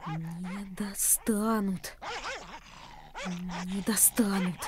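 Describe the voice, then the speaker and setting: A woman acting a brave, defiant young boy in a Soviet radio play, deliberate and tense. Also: medium pitch, noisy background. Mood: tense self-talk. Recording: old radio play with music underneath.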